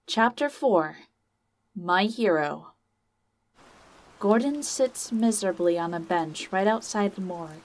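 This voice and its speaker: A woman's voice: mid-range voice, lively intonation, takes it slowly, long pauses. A woman reading scripted narration for an amateur audio drama story.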